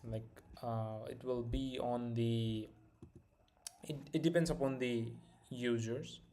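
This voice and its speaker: A man's voice: mid-range voice, steady tone, slow, warm tone, slight background noise. Brief: young male streamer, Indian accent, easygoing and rambling, mid-range voice. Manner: loose, filler-heavy, restarts often. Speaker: live-stream host. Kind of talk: casual live-stream chat and product demo.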